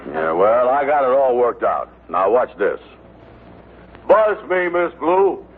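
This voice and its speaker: An actor playing a pompous, hapless big-talker in an old radio sitcom, blustering in folksy broken grammar and malapropisms. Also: male voice, medium pitch, big pitch swings, slow pace. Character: scheming, hapless, pompous big-talking fiancé, a grown man. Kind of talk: 1940s-50s radio sitcom acting. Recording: noisy, tinny vintage radio broadcast.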